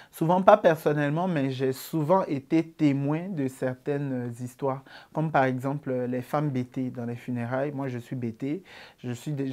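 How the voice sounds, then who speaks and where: A man in his twenties with an Ivorian accent, answering interview questions about his comedy in a relaxed way, with many fillers. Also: mid-range voice. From Ivory Coast. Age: 20s.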